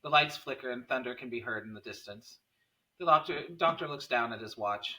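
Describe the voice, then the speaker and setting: A man's voice: medium pitch, brisk, pushed and bright, low-quality recording. A man narrating a screenplay, reading out scene headings and stage directions as a scripted narration.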